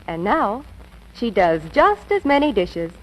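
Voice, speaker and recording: A woman's voice: medium pitch, very animated, unhurried. A woman playing a chatty storyteller in a 1940s radio advertisement, gushing like she is telling a bedtime story. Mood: gushing, confiding. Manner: gushing, theatrical storybook delivery. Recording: noisy old radio broadcast recording.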